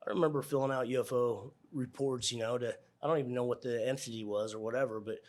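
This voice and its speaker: A man's voice: medium pitch, steady tone, fast, a little mellow. Casual middle-aged American man. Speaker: air traffic controller, interview guest. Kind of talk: casual conversational interview answers.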